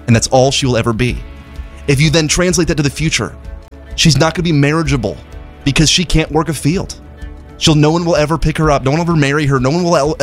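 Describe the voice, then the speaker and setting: Man raised in Zimbabwe, earnest charity spokesman, passionate and repetitive, faint music bed. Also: on the high side. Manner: passionate, storytelling, repeats words. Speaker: charity representative on radio. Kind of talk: earnest appeal on radio.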